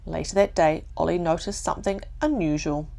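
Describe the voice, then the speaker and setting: A woman with a New Zealand accent narrating a calm bedtime story for children, gently and with deliberate pauses. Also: deep voice, vocal fry.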